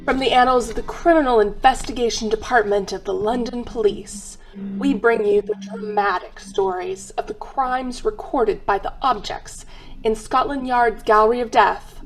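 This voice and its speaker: A woman narrating an old-time radio crime drama as a solemn, macabre museum guide, theatrical and deliberate. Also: fairly high pitch, faint music behind, natural voice, poor audio.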